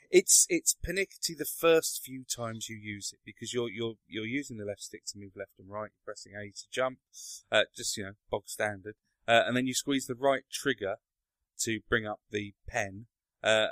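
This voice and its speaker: A man's voice: fairly low pitch, moderate pace, fairly bright tone, no room sound. A man hosting a casual podcast chat, talking hesitantly with many ums and restarts. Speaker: podcast host.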